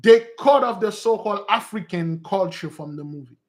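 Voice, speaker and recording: A man's voice: sits high, animated, normal speed. A man teaching in a loose, rambling monologue who repeats his points and calls his listeners beloved. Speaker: preacher and teacher.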